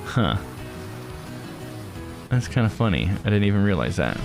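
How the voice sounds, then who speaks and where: A man's voice: lowish voice, big pitch swings, normal speed, music in the background. A man streaming a game playthrough with casual commentary, musing aloud in an easygoing way.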